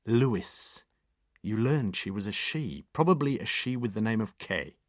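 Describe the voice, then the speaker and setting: A man's voice: on the low side, expressive, unhurried, dry recording. A man voicing a sardonic, lofty middle-aged detective inspector with a dry, clipped manner.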